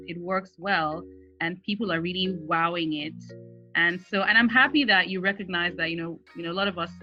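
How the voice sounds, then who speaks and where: A woman hosting a conversational interview, speaking in an enthusiastic, affirming way. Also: fairly low pitch. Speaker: interviewer, host. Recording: dull lo-fi audio with music behind. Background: background music.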